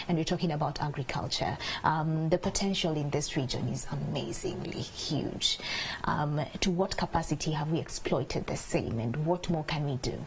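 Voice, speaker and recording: Lower-voiced woman hosting an interview. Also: slight background noise, poor audio. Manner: engaging, prompting with questions and quick affirmations. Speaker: interview host. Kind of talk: studio interview.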